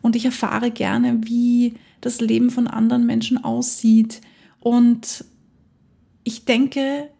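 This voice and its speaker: A woman's voice: a little high, flat delivery, takes it slowly, gravelly, mellow, slightly rough. A woman hosting her own podcast, talking alone in a chatty, enthusiastic way and laughing often. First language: German. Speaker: podcast host. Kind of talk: casual solo podcast monologue.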